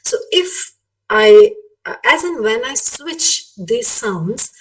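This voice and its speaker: A woman's voice: a little high, big pitch swings, slow pace. Malayali-accented woman lecturing, slightly distant mic. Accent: Malayali Indian English. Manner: conversational, frequently seeks agreement with tag questions. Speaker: academic guest lecturer. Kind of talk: explanatory webinar lecture. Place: online webinar. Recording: distant microphone, online webinar audio.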